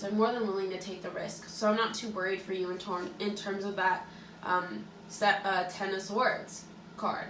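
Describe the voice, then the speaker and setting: A woman giving a casual tarot reading to her viewers, chatty and relaxed with lots of fillers, sounding a little stuffy-nosed from allergies. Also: medium pitch, echoey room, far from the mic, slight background noise, rough recording. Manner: chatty, relaxed, many likes and ums. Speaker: tarot reader and astrologer.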